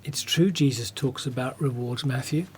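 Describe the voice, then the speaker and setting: A man's voice: mid-range voice, moderate pace, a little hiss or hum, lightly acted. A man playing a devoted, guileless disciple in a radio drama, with a plain, questioning way of talking. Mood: sincere.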